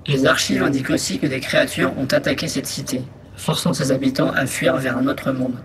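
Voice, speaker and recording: A man playing a small, methodical exploration robot in a sci-fi audio drama, speaking in a measured, matter-of-fact way. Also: mid-range voice, little pitch movement, slight background noise, natural voice. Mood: analytical, curious. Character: small exploration robot, methodical, dutiful scout reporting findings. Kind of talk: scripted sci-fi audio fiction monologue.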